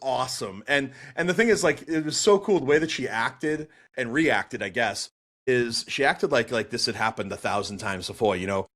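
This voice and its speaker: A man in his forties with a Boston accent, a podcast guest telling his story in a chatty, animated way with lots of fillers and restarts. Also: mid-range voice, normal speed. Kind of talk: storytelling interview answer.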